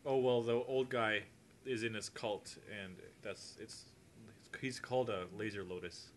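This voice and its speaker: A man's voice: on the low side, unhurried. A man chatting casually as a guest on an informal podcast. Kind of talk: casual chat. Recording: noisy home podcast recording.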